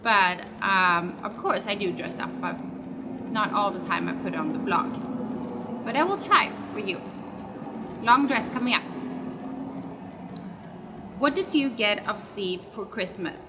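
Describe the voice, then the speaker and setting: A woman's voice: mid-range voice, expressive, unhurried, long pauses. Chatty woman, distant and noisy. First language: Swedish. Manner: chatty, rambling, corrects her own words. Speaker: web show host. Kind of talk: casual vlog answering fan questions.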